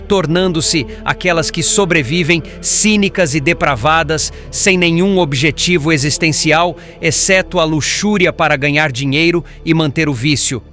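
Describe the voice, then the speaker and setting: A man voicing a patient, solemn, learned spirit instructor in formal, measured audiobook narration. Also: a little high, a bit bright, close to an everyday voice.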